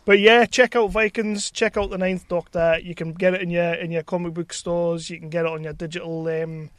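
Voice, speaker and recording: A man's voice: sits high, little pitch movement, brisk, fairly bright tone, no echo. A man hosting a podcast interview in a casual, enthusiastic fan's manner. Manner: enthusiastic fan, eager. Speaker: podcast host interviewing a guest. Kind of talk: casual chat.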